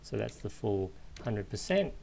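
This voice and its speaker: A man giving an informal, step-by-step software walkthrough, explaining as a screencast instructor. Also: lowish voice, big pitch swings, a little slow, creaky. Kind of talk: step-by-step screencast tutorial narration.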